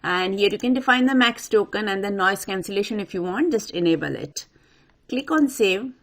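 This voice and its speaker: A woman presenting an unscripted software tutorial, talking the viewer through each step in an instructional way. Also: medium pitch, animated, normal speed. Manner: instructional, addresses viewer directly. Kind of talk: step-by-step screen walkthrough, unscripted.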